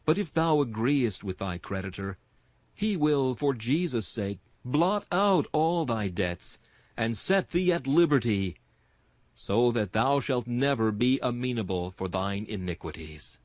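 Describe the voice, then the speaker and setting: A man reads an old-fashioned sermon in an earnest, exhorting way. His pitch rises and falls expressively with the rhetoric, and the sound is dry and close, free of room echo. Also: expressive, unhurried, darkish tone. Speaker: sermon reader and host. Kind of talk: dramatic reading of a Victorian sermon.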